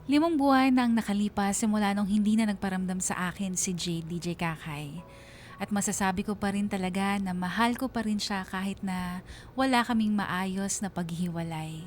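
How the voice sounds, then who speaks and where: A woman's voice: mid-range voice, normal speed, slight background noise, natural voice. A woman playing a heartbroken young woman, narrating her love story earnestly in a radio drama. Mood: wistful, emotional. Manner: earnest, sentimental.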